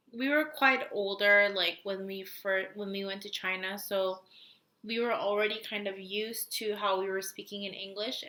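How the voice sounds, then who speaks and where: A woman's voice: mid-range voice, sharp tone. A young woman co-hosting a podcast in casual chat, agreeing often and adding her own thoughts. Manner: supportive listener, quick agreeing interjections. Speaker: podcast co-host.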